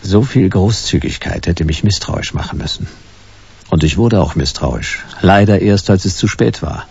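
A world-weary private detective in a sci-fi noir radio play. The voice is very low and gravelly, swinging widely between dry, clipped irony and drawn-out phrases. The recording is slightly dull. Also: male voice, expressive, darkish tone, heavily acted. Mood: laconic narrating. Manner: laconic, dry, sardonic. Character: world-weary, wry hard-boiled male private detective. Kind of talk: German radio play noir acting and first-person narration.

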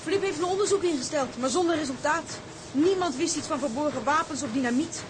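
An actress plays a shrewd seafarer's wife in a Dutch radio play, from the Netherlands. Her voice is fairly high, resolute and caring. Clear noise sits behind her speech. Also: a little fast, natural voice. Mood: worried. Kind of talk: radio drama acting. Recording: noisy radio drama recording.

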